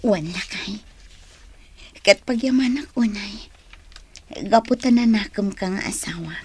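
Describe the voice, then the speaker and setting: A gentle, devoted young wife in an Ilocano radio drama. Her voice is mid-pitched and breathy, and she speaks as someone dutiful and loving. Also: a little slow. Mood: grateful.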